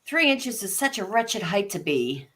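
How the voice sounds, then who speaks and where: A woman's voice: mid-range voice, sing-song, unhurried, fairly bright tone. A woman voicing a polite, bewildered but plucky little girl in a storybook live read, earnest and prim. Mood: polite, confused. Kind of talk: live reading of an abridged classic story.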